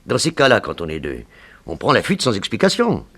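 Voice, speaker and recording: Crafty gangster, very deep, animated intonation, deliberate, insinuating, 1960s radio thriller. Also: male voice, slightly rough, heavily acted. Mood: scheming, gloating. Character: scheming, vengeful gangster posing as an informant. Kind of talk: 1960s French radio crime drama acting.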